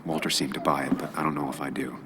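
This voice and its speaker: A man's voice: very deep voice, little pitch movement, quick pace, gravelly, noisy background. An actor playing a brooding, guarded journalist in a video game, analytical and understated in his delivery. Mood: musing, suspicious. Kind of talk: video game voice acting with muttered inner monologue. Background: music.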